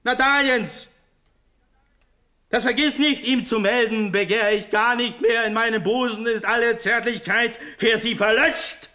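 A man's voice: very high-pitched, fairly even intonation, unhurried, bright, forceful tone, heavily acted. An actor playing a desperate young prince begging for his life, in fervent, declamatory classical radio-drama verse. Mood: feverish renunciation.

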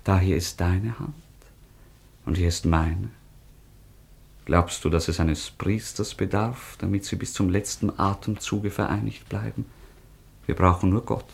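A man's voice: low-pitched, steady tone, unhurried, creaky, long pauses, breathy edge, full character voice. An actor playing an ardent, melancholy young nobleman, speaking earnestly and lyrically in a radio play. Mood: earnest, wounded. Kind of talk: classic radio play acting.

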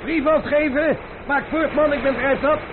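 Brusque messenger, very high, noisy recording. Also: male voice, steady tone, normal speed. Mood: impatient. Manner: brusque, impatient. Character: gruff, impatient messenger shouting from outside. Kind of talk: historical radio play acting. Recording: noisy, tinny, band-limited old radio drama.

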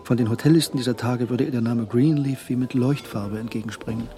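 An actor narrating a tense crime story in a scripted radio drama. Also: male voice, medium pitch, a little fast, mellow, faint music behind, natural voice. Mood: tense, measured.

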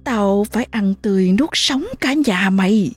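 A woman voicing a ravenous demon possessing a child, shrill and menacing. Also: normal speed, darkish tone, slightly rough, lightly acted.

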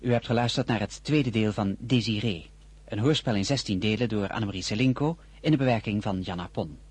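A man giving a radio play's closing announcement and cast credits in a measured, formal way. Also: fairly low pitch, a little mellow, slight background noise. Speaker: broadcast announcer.

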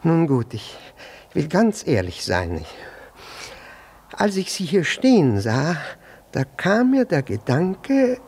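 Stammering, flustered middle-aged gentleman, swooping voice. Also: on the high side, wildly swooping pitch, takes it slowly, slightly rough, full character voice. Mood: nervous, embarrassed. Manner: stammering, hesitant, repeats words, fussily polite. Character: flustered, jealous, nervously polite husband in Petersburg. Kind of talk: German radio play acting.

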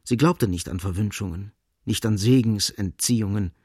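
A sombre literary narrator in a radio play. He has a low voice and phrases gravely and deliberately, in a dry, close studio recording. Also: a little mellow, no room sound.

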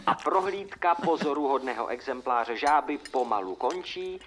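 A man's voice: mid-range voice, lively intonation, a bit bright, a little hiss or hum, natural voice. Formal man presenter over clinking dishes, acted. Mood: solemn, formal. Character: solemn male documentary presenter, dramatic when quoting letters. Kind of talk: scripted narration within radio drama. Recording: clinking dishes and cutlery behind.

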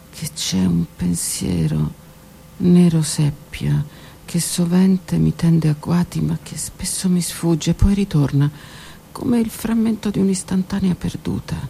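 A woman performing a theatrical one-woman monologue, delivered dramatically, moving between loud outbursts and hushed whispers. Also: lowish voice, creaky, a little hiss or hum. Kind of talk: theatrical monologue broadcast on radio.

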